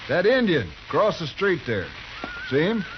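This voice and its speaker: A man's voice: a little high, big pitch swings, moderate pace. An actor playing a bullying drifter gunman in a radio western, blunt and irritable. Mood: agitated. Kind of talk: 1950s radio western acting. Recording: muffled, faintly hissy old radio recording.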